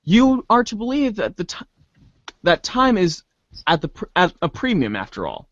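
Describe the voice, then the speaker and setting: High-pitched, animated man reading a story. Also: moderate pace, highs cut off, background noise. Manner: theatrical, stumbles over words and rereads them. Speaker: voice reader in a webcomic reader's theater. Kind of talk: dramatic reading of narration from a script.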